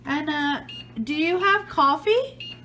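A woman behind the camera in a home living room, gently and patiently playing pretend shop with a toddler. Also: high voice, expressive, slow, a little hiss or hum. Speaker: parent hosting a kids' channel. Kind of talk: playful pretend-play with a toddler.

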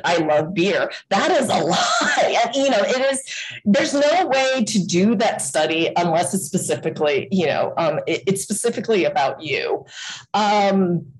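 A woman's voice: lowish voice, low-quality recording. A woman giving an online lecture over a video call, talking casually with many fillers and restarts. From United States.

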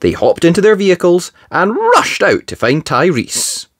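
A storybook reader narrating for young children in an expressive, theatrical, emphatic way. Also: mid-range voice, moderate pace, breathy edge. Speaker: storybook reader, channel host. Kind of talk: animated children's read-aloud narration.

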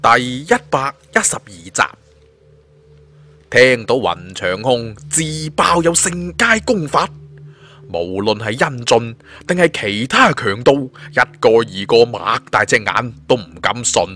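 A man's voice: mid-range voice, sing-song, unhurried, pushed and bright. A man with a Cantonese accent narrating a dramatic story as an audiobook storyteller. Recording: music under the voice.

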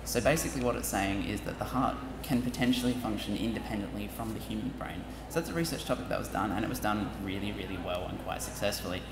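A young man giving an upbeat talk to high school students from a lectern, adding joking asides. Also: quick pace, few pauses. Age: young adult. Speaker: university presenter. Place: large auditorium with stage. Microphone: lectern microphone. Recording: echoey hall with audience noise.

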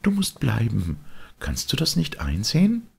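A man's voice: medium pitch, huge pitch swings, unhurried, vocal fry, slightly rough, pitched above the performer's own voice, heavily acted. A man voicing a kind but firm little boy in a storybook, speaking simply and insistently. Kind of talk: storybook character voice.

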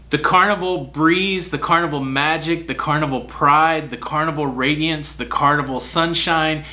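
A man's voice: on the high side, pushed and bright. An American man in his forties hosting a casual cruise news update to camera from a hotel room. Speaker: cruise news video host. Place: small furnished hotel room, indoors. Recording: clearly audible hum, room air-conditioner noise.

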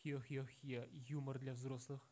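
A man's voice: medium pitch, flat delivery, a little slow, over loud music. A man commentating on a film, reading a scripted narration. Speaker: video commentator.

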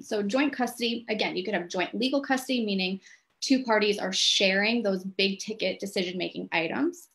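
A woman presenting a legal self-help clinic, explaining terms in a measured, plain-spoken way to a lay audience. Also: medium pitch, a bit bright.

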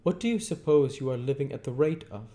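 A stern middle-aged lawyer, as a male reader puts him on, pressing every question like a cross-examination. His voice rides well above the reader's own, loud and insistent, with a mellow darker tone underneath. Clipped and emphatic, a storybook figure who always knows better. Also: mid-range voice, fast, voice pushed up, natural voice. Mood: interrogating.

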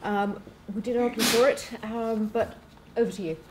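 A woman chairing an academic panel in a seminar room, introducing speakers politely and briskly. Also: on the high side, fairly even intonation, noisy background. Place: seminar room at a conference table.

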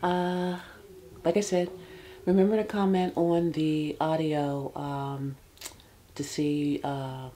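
A soft-spoken woman in her fifties, casually showing a handbag in her bedroom with halting speech and many fillers. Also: sits low, steady tone. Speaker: handbag vlogger. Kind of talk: casual product demo. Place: bedroom, seated on a bed. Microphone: external camera mic.

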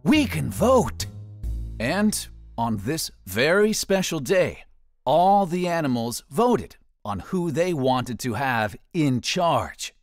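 A man reading a children's story aloud as a trained voice actor, theatrical and deliberate in his emphasis. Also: fairly high pitch, big pitch swings, dry recording. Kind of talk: expressive children's storybook narration. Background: soft music.

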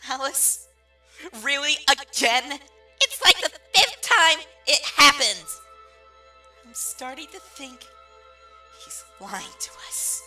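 Woman voicing a high, bratty little cartoon demon. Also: big pitch swings, pushed and bright, soft background music, exaggerated voice. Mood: hurt, pleading. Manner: exasperated, dramatic, drawn-out delivery. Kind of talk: comic dub acting.

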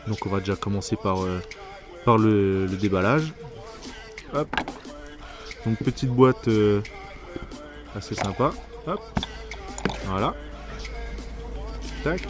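Man, lowish voice, informal reviewer, hesitant, slangy. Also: slow pace, long pauses, music in the background. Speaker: product reviewer. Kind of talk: casual hands-on product review.

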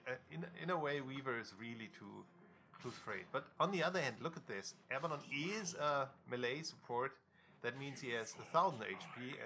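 Bright-voiced man casting a game. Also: mid-range voice, slight background noise. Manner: critical, dry asides, frequent ums. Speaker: game caster. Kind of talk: casual solo game commentary.

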